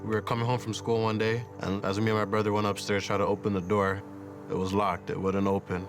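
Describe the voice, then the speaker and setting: A man's voice: on the low side, level tone, a little fast, over music. A man around twenty, reflecting on his life in an interview. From Canada. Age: 20s. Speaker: interviewee, soccer player.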